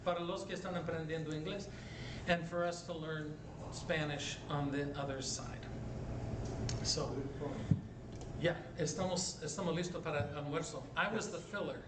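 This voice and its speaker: A man's voice: on the high side, fairly even intonation, normal speed. A middle-aged man who is a bishop, a native English speaker giving a jovial conference talk with questions, mixing Spanish and English. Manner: jovial storyteller, asks "right?" often. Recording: echoey hall, distant mic, background noise.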